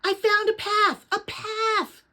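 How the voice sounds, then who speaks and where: A woman reading a storybook as an adventurous young girl, earnest, determined and excitable. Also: very high voice, slow pace, pitched above the performer's own voice, heavily acted. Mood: excited shouting.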